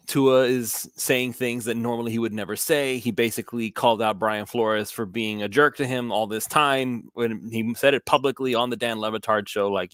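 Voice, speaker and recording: A man hosting a casual sports podcast, asking questions in a halting, loose way. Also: mid-range voice, a bit bright, no room sound, studio quality.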